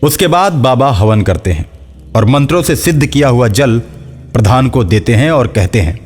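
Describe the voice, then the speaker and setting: A man tells a scripted tale as narrator. His voice sits at a medium pitch, with soft music playing behind it. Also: expressive, moderate pace, slightly rough. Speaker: storyteller. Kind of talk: scripted Hindi story narration. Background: faint music.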